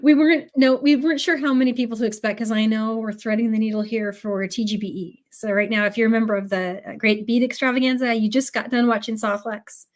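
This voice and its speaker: A woman's voice: fairly high pitch, fairly even intonation, quick pace, hardly any pauses. A woman with a cold teaching a live beading class, chatty and full of ums as she greets viewers. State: has a cold. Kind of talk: casual live tutorial.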